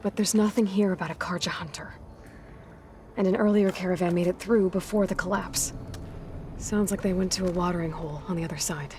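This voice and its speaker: An actress playing a young woman hunter in a video game, talking calmly and thoughtfully. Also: on the low side, fairly quick, a little hiss or hum, lightly acted. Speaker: protagonist.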